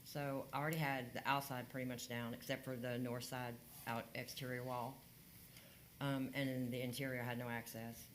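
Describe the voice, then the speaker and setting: A man's voice: mid-range voice, little pitch movement, normal speed, fairly bright tone, slight background noise. A man working as a code enforcement officer, reporting his findings at a county hearing in a plain, matter-of-fact way with frequent fillers.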